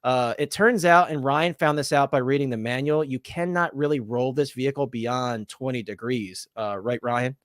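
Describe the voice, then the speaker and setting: A man's voice: medium pitch, moderate pace, hardly any pauses, a bit bright, dry recording, clean studio sound. A man hosting a casual toy-collecting podcast, speaking earnestly with frequent fillers and careful hedging. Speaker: podcast host.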